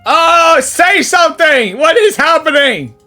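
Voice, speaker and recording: A woman reacting casually to a game, excitable and exclamatory. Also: high-pitched, slow pace, soft background music. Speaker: reaction streamer.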